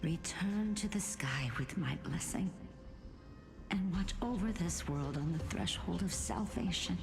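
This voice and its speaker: A woman playing an aged, tender and grave grandmother spirit of a sky people in a video game, with slow, breathy pauses. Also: lowish voice. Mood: tender, wistful. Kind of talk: dramatic video game voice acting. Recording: music under the voice.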